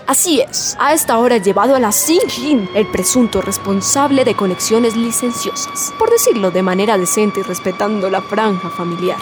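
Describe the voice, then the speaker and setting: Radio spoof field reporter, played by a woman: Colombian, formal news diction, medium pitch, music bed. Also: expressive, normal speed, hardly any pauses, close to an everyday voice. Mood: earnest, urgent. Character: earnest female on-the-scene news reporter. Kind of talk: parody live news report in radio soap.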